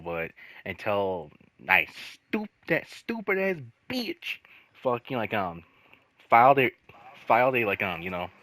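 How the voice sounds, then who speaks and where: A man telling casual stories in a rambling, halting way, swearing freely and leaning on repeated filler phrases. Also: mid-range voice, sharp tone, dry recording. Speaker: host telling personal work stories. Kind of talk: casual storytelling chat.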